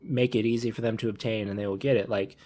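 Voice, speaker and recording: A man's voice: on the low side, fairly even intonation, quick pace, a little mellow. Rambling thirty-year-old man, casual podcast talk. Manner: rambling, repeats and rephrases himself. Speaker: podcast panelist. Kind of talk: casual panel discussion.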